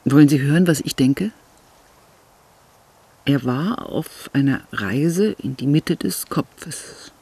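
An actor playing a reflective, soft-spoken man talking about someone who has died, in radio play dialogue. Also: on the high side, takes it slowly, vocal fry, pauses often, husky, dry recording, exaggerated voice.

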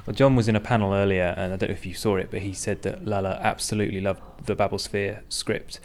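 A man's voice: lowish voice, steady tone, fast talker, darkish tone, a little hiss or hum. A man talking casually as the interviewer in a conversation.

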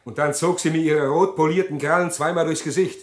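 Ein Schauspieler spielt in einem Krimihörspiel einen gequälten Täter, der seine Tat dramatisch erzählt. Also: male voice, a little high, fairly even intonation, brisk, natural voice. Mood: agitated recollection. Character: haunted condemned man recounting his crime. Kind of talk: dramatic first-person narration in radio play.

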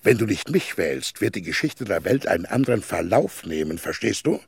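A man's voice: low-pitched, big pitch swings, normal speed, creaky, husky, no echo, heavily acted. A man acting in a German radio play as a vain, powerful tycoon, pompous and urgent as he argues his case. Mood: boastful, urgent. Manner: pompous, urgent, persuasive, swinging between boasting and confiding.

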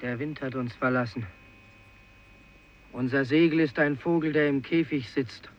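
A man's voice: medium pitch, fairly even intonation, measured pace, close to an everyday voice. A man playing an exhausted, stranded fisherman in a radio play, speaking in grave, lyrical declamation. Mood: weary lament. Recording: dull, slightly noisy vintage radio recording.

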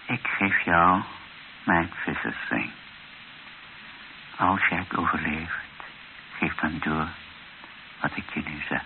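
A man's voice: low-pitched, steady tone. Een man die in een hoorspel een broze, plechtige bisschop speelt die nadrukkelijk en haperend zijn laatste boodschap uitspreekt. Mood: solemn, impassioned. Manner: halting, solemn, emphatic repetitions. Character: frail, solemn dying Belgian bishop giving his last testament. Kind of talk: radio play acting. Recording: muffled, hissy old radio recording.

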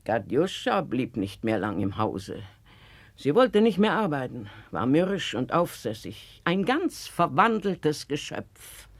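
An older woman playing a bitter, watchful old housekeeper in a 1960s German radio play, with measured, brooding delivery. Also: deep voice, very animated, no room sound, full character voice.

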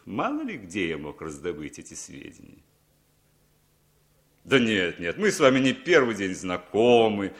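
An actor playing a smooth, sly foreign businessman in radio drama, insinuating and theatrical. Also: low-pitched, exaggerated voice. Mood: falsely reassuring. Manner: suave, insinuating, theatrically expressive. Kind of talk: 1960s radio drama acting.

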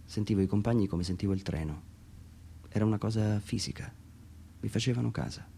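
A man reads his own literary monologue for radio theatre in a measured, reflective way, pausing between short phrases. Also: lowish voice, creaky, dark tone, slight background noise. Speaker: author reading own text.

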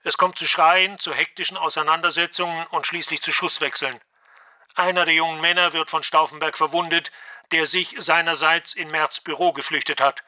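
Male narrator for scripted radio drama, very crisp bright tone, slightly high register. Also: steady tone, moderate pace.

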